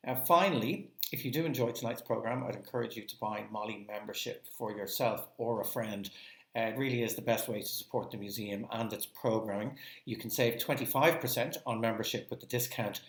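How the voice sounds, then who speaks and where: Low-voiced man making announcements. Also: a little fast, echoey room, rough recording. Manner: polite, scripted, with uh fillers. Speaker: museum presenter. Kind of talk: announcements before an event.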